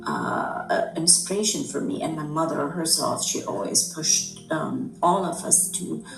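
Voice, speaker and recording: Low-voiced Afghan woman, hesitant speech. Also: big pitch swings, gravelly. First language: Dari. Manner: thoughtful, many "um" and "uh" pauses. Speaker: guest. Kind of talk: conversational interview. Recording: echoey room, distant mic, music behind.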